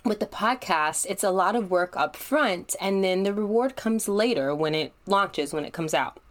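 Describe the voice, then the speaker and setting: A woman's voice: medium pitch, fairly bright tone. A young adult woman hosting her own podcast, talking in an upbeat, conversational and encouraging way. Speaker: podcast host. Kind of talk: solo podcast monologue.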